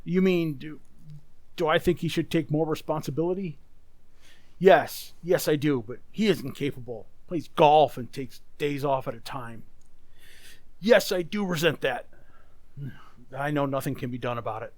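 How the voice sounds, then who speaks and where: An actor playing an anxious, tormented businessman in a radio thriller, hesitant and halting but emphatic. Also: fairly high pitch, dry recording, natural voice. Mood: defensive, uneasy. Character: anxious, tormented businessman confessing dark urges. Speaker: community theater actor. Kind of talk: old-time radio thriller acting.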